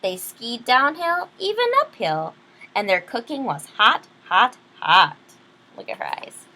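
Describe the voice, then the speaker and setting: Animated high-pitched woman reading to children. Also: a little slow. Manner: expressive, explains words to children. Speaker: read-aloud storyteller. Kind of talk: animated children's picture-book reading with asides to young listeners.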